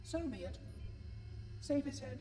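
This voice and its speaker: An actor voicing a pompous, prim floating robot caretaker in a video game, with an electronic edge and a cheerfully menacing manner. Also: male voice, very high-pitched, animated, a little slow. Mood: coldly threatening. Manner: prim, officious, formal. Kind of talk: video game cutscene acting. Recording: echoey hall, game music behind.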